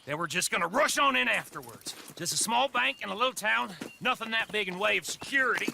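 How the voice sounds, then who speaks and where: An actor playing a boastful, touchy Wild West outlaw with an American accent and a folksy, defensive way of talking in a video game. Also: male voice, high-pitched, animated, brisk, gravelly, bright, forceful tone, slight background noise, exaggerated voice. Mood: businesslike. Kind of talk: video game cutscene acting.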